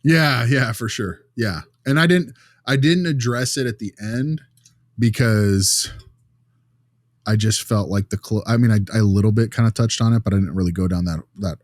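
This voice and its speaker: A man's voice: on the low side, moderate pace, dry recording, studio quality. A man speaking informally in a casual podcast discussion, blunt and opinionated as a co-host.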